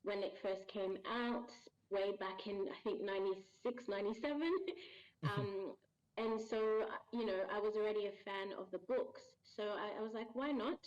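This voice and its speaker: A woman's voice: medium pitch, steady tone, moderate pace, a little mellow. Laughing woman guest, lo-fi sound. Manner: laughs often, many ums and restarts. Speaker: voice actor guest. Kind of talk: casual interview chat.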